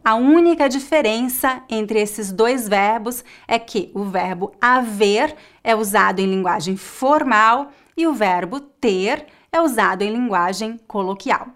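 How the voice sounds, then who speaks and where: A woman with a Brazilian accent teaching a Portuguese lesson in a slow, clear, scripted way. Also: a little high, a bit bright. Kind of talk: scripted online language lesson.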